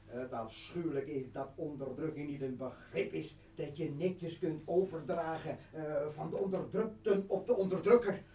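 A man acting out a bitter, menacing revolutionary in a radio drama, rhetorical and taunting. His voice is fairly high, in a dull, echoey old recording. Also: moderate pace, few pauses, warm tone, a little hiss or hum, close to an everyday voice. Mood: impassioned, indignant. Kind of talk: Dutch radio play acting.

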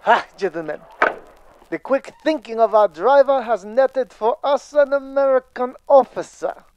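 A man's voice: very high-pitched, measured pace, a little mellow, smooth, muffled recording. A man playing a scheming, pompous spy leader, formal and menacing, in a 1940s-style radio serial. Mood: smug. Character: scheming, pompous German spy-ring leader, male villain.

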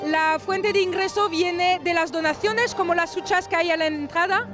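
A woman's voice: very high voice, normal speed, over music. A middle-aged French woman speaking non-native Spanish with a French accent, enthusiastic, interviewed at a handheld mic in a crowded gallery. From France. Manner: enthusiastic, slightly broken Spanish. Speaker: charity president. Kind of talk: street interview. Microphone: handheld reporter mic.

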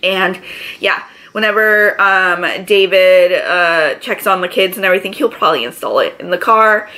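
A pregnant young woman with a raspy, allergy-congested voice, chatting casually to camera from her living room couch, full of filler words. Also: lowish voice, moderate pace, sharp tone, poor audio. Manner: chatty, lots of filler words, gestures with both hands. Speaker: vlogger. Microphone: camera in front, medium framing.

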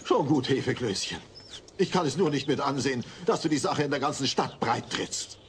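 An actor playing an exasperated, embarrassed husband who pleads in a comedy radio play. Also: male voice, medium pitch, animated, normal speed, close to an everyday voice. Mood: pleading. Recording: slightly noisy old cassette transfer.

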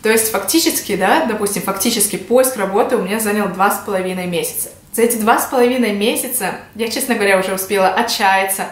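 A woman's voice: medium pitch, fast, hardly any pauses. A woman in her twenties from Russia, talking casually to the camera as she explains things in a vlog. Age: 20s. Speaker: vlogger. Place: small indoor room at home. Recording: echoey home room.

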